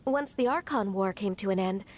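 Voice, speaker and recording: A woman's voice: medium pitch, moderate pace, lightly acted. A woman playing a composed young female adeptus in a fantasy video game, polite and earnest as she recollects. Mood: earnest, reflective. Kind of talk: scripted video game dialogue.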